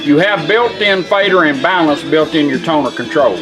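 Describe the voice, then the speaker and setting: A man's voice: a little high, expressive, normal speed. An American man giving a casual, plainspoken, step-by-step hands-on demonstration of a product. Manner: plainspoken, folksy step-by-step instruction. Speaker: product demonstrator for a radio restoration shop. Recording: music playing behind the voice. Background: guitar music from a radio.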